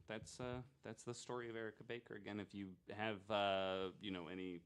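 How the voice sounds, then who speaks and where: A man with a somewhat low voice hosts a casual podcast. He speaks conversationally in piecemeal, hesitant phrases and drops in dry asides. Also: flat delivery, fairly quick, fairly bright tone, smooth. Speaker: podcast host.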